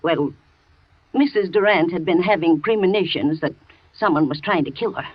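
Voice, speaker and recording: An actress playing a loyal housekeeper in a 1940s radio thriller, talking with a nervous, shaky manner on a dull old broadcast. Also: female voice, on the low side, normal speed, breathy edge, no room sound. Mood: shaken. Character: frightened loyal housekeeper woman, middle-aged. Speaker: housekeeper and nurse.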